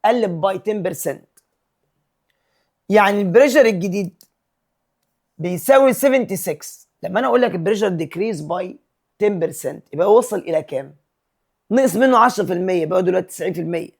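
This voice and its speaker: A man with an Egyptian Arabic accent teaches physics, reading questions out slowly and solving them step by step. His voice sits high for a man, and he switches between Arabic and English terms as he works. Now and then he clears his throat. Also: lively intonation. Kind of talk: solving exam problems step by step.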